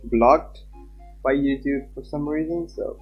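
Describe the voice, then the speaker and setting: A young man rambles casually as he streams a game. His voice is a bit rough and unclear, caught on a slightly muffled mic with music playing behind. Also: medium pitch, slow pace. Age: young adult. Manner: informal, chatty, rambling. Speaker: live streamer. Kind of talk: casual game stream chat and reading aloud.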